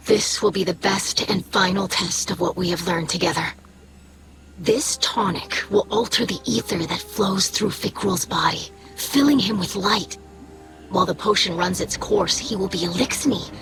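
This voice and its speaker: A woman's voice: mid-range voice, natural voice, poor audio. A woman voicing a dignified, grave alien leader in a video game, giving a briefing in a formal, solemn way.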